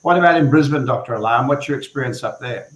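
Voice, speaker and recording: A man, a hair restoration surgeon, moderating a live panel discussion over a video call, speaking in a measured way with fillers and repeated words. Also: medium pitch. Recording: roomy echo on a video call.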